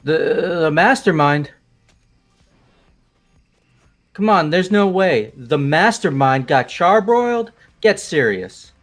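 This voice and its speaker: A man's voice: fairly high pitch, expressive, unhurried, faint music behind. A man playing a brash, laid-back student in a fan-voiced visual novel, slangy and full of disbelief. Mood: incredulous. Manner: slangy, exclamatory, questioning.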